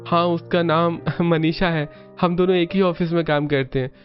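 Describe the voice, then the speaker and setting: Man acting a flustered, high-pitched young man. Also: fairly even intonation, moderate pace, close to an everyday voice. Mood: nervous. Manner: exclaiming, hesitant stammering when pressed. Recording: muffled audio over music.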